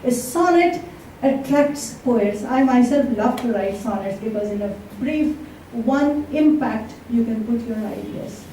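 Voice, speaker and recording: Echoey, higher-voiced woman giving an effusive speech. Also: lively intonation, measured pace, dark tone. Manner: effusive, emphatic, savoring phrases. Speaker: event speaker introducing readers. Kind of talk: live literary introduction speech. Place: large echoey room at a live event. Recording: echoey hall, distant mic, faint noise.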